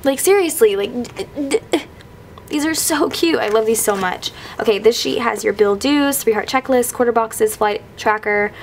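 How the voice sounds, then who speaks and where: A woman's voice: fairly high pitch, a little hiss or hum. A woman in her twenties, recovering from illness and losing her voice, gushes through a casual haul of planner stickers at a tabletop. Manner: gushing, fast filler-heavy enthusiasm. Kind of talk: casual haul show-and-tell. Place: indoors at a table, hands-only overhead camera.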